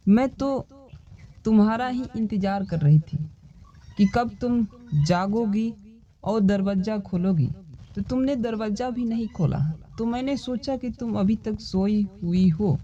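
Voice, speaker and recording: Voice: mid-range voice, unhurried, dark tone, natural voice. A narrator voicing a small, chatty mother bird from a children's cartoon story, in plain storybook dialogue. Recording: dull lo-fi sound, music behind.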